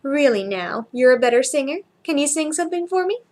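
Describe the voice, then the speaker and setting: A woman voicing a kind, helpful female shop assistant in a story, talking in a gentle, coaxing, reassuring way. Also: sits high, lively intonation, a little fast, pitched above the performer's own voice. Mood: encouraging.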